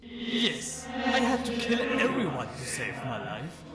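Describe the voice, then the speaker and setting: A man's voice: sits high, huge pitch swings, exaggerated voice. A man voicing a sardonic, world-weary ghost mercenary archer in a video game, speaking matter-of-factly and a little profanely. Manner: sardonic, matter-of-fact, unhurried, casually profane. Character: wry, world-weary male ghost mercenary archer, defensive but loyal. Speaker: voiced follower character in a game mod. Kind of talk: scripted game character monologue. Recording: roomy, distant voice under loud music. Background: loud music.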